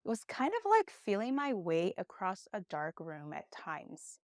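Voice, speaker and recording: A young woman, a Portuguese speaker, giving a conversational online English lesson with encouraging, expressive emphasis. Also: medium pitch, a little slow, dry recording, clean studio sound. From Brazil. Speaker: English teacher.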